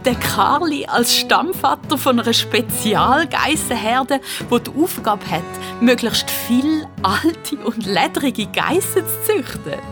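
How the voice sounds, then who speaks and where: A woman with a Swiss German accent narrating a children's audio drama in an animated, expressive storytelling style. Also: on the high side, slow pace, hardly any pauses. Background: loud music with violin.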